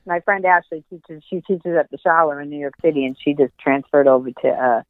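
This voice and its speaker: A woman's voice: low voice, brisk, no echo, narrow, tinny audio. A woman with a nasal voice chatting casually and emphatically as an interview guest, often repeating her phrases. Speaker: interview guest, actress and film producer. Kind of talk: casual chat. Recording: thin telephone or radio-like line.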